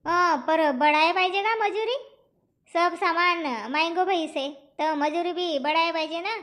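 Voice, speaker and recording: Woman voicing a very high, bright, chatty village woman. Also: unhurried. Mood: insistent. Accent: Powari, eastern Maharashtra and Balaghat region. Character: talkative village farm labourer woman haggling over wages. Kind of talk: cartoon comedy dialogue.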